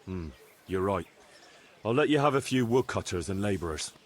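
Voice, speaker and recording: An actor playing a practical, firm and plain-spoken medieval bailiff in a video game. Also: male voice, fairly low pitch, animated, moderate pace. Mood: calm, questioning. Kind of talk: video game cutscene acting.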